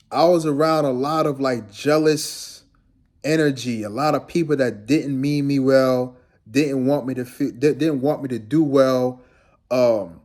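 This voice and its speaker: Young guy from guyana chatting to his viewers, relaxed and rambly, medium voice. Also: medium pitch. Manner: laid-back, rambling, addresses viewers as "man". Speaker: fitness trainer vlogger. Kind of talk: casual talking-head vlog.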